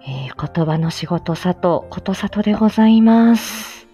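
A woman's voice: medium pitch, animated, measured pace, mellow, breathy edge, faint music behind. A woman hosting a casual solo livestream chat, rambling along in a self-effacing, giggly manner. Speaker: livestream host, speech-language therapist.

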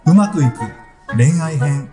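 A man's voice: fairly high pitch, a little slow. A man narrating an ad and a next-episode trailer in a dramatic announcer voice. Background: music.

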